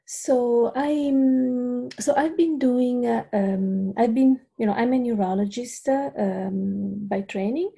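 A woman's voice: a little high, slow, vocal fry, hardly any pauses, mellow, slightly rough. A middle-aged woman with an Italian accent, a neurologist guest on a podcast interview, speaking hesitantly with many fillers and restarts. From Italy.

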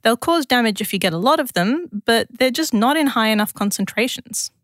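A woman's voice: on the high side, expressive, fairly quick, fairly bright tone, no echo, clean studio sound. A woman with an Australian accent presents a scripted science explainer in a conversational, lightly wry way.

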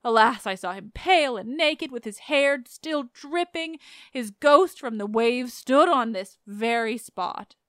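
A woman's voice: on the high side, steady tone, measured pace, hardly any pauses, clear, resonant tone, no echo, clean studio sound, lightly acted. A woman voicing a grieving young wife who speaks in a raised, lamenting voice. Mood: grief-stricken.

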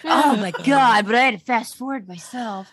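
A woman's voice: fairly high pitch, normal speed, husky. A woman with a Texan accent co-hosting a podcast in casual chat, rambling with restarts and laughing often. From Texas, United States. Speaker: podcast co-host.

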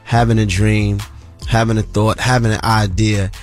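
Low-voiced laid-back man co-host. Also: level tone, moderate pace, faint music behind. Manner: laid-back, joking, motivational. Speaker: radio co-host. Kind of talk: casual radio banter. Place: radio studio.

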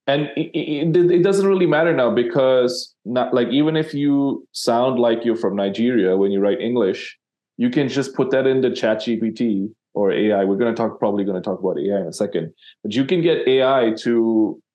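A man's voice: medium pitch, moderate pace, mellow, muffled recording. A man from Sri Lanka, a copywriter guest in an interview, talking casually and rambling with many fillers and restarts.

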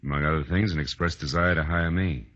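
A tough, world-weary private eye speaks in a deep, forceful voice with sardonic, clipped lines. It sounds like a 1940s radio detective show, dry and dull in the old recording. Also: male voice, steady tone, fairly quick, no room sound. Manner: sardonic, hard-boiled, clipped. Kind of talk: 1940s radio detective acting, first-person narration.

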